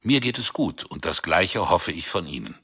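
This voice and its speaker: An old, plain-spoken father in a radio drama. His deep voice is husky yet sharp, reading a card with stiff formality. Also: big pitch swings, normal speed, heavily acted. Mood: formal, earnest.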